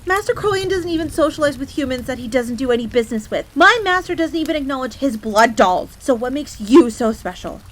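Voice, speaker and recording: A high-voiced fox maid in an audio roleplay. She is a proud, jealous tsundere servant, quick to get indignant and flustered, yet lowly in her own eyes. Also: slight background noise, poor audio. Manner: indignant, stammering when flustered, refers to herself in third person.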